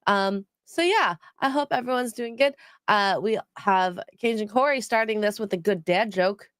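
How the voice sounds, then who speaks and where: A woman's voice: medium pitch, moderate pace, fairly bright tone, clean tone, no room sound, studio quality. A woman hosting a casual livestream chat, sounding nasal from a cold, rambling with many ums as she reads out donations. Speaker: stream co-host.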